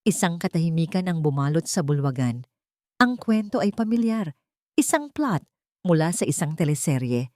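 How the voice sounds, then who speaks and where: A woman narrating a dramatic first-person audiobook story in a measured, storytelling way. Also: on the low side, darkish tone, no echo, studio quality.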